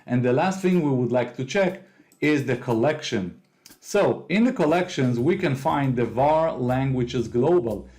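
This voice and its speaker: A man from Israel whose first language is Hebrew, speaking English with an Israeli accent as he explains a software app step by step in a tutorial. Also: mid-range voice, expressive, measured pace. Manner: explains step by step, non-native phrasing, occasional fillers. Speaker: host of a software tutorial channel. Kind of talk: screen-recorded software walkthrough.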